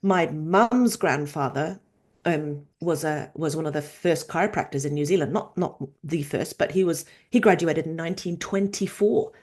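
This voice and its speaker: A woman in her fifties from Norway, a chiropractor and researcher telling her story in a casual, animated interview. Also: deep voice, moderate pace, hardly any pauses. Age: 50s. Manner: animated storytelling, acts out quoted voices.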